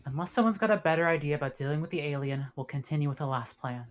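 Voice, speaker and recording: A woman plays a resolute female officer in command of a spaceship. Her voice is deep for a woman, and she speaks in terse, commanding lines in a sci-fi script reading. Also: expressive, very fast. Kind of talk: scripted sci-fi table read.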